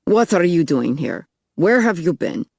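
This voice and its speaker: A woman voicing a gruff, lonely male vampire henchman with a Russian accent and blunt speech in an audiobook. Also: fairly low pitch, big pitch swings, measured pace, darkish tone, no echo, studio quality, full character voice. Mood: suspicious.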